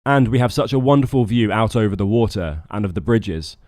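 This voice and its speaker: A man's voice: lowish voice, expressive, a little fast, darkish tone, dry recording. A British man narrating a scripted vlog as a boat skipper, with a wry, dryly humorous manner. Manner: wry, dry humour.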